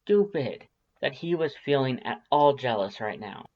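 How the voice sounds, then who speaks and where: A woman's voice: rumbling low, animated, measured pace. Woman narrator, slightly muffled recording. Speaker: fan-fiction narrator. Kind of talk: scripted story narration.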